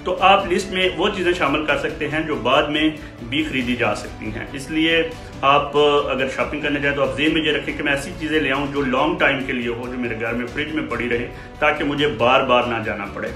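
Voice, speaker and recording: A man in his forties giving measured advice to camera from a small room at home, pausing often with fillers. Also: medium pitch, pushed and bright. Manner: measured, with frequent pauses and fillers. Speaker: commentator. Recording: roomy small room with faint music.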